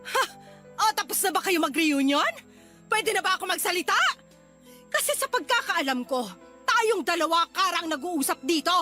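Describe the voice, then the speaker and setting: A woman's voice: very high-pitched, animated, normal speed, pushed and bright, faint music behind, full character voice. A woman playing a furious betrayed wife and older sister in a radio drama, scolding and confrontational. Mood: bitter, accusing. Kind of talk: Tagalog radio drama acting.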